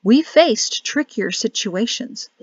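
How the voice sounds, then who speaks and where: A woman with a rough, crackling voice voicing a calm, gentle older male rector, soft and soothing. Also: a little high, slow pace, no room sound, muffled recording, heavily acted.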